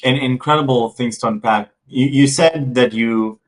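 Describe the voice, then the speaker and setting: Man, podcast host, medium pitch, conversational, halting phrasing, repeated words. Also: low-quality recording. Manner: halting, repeats words while forming questions. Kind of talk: conversational interview hosting.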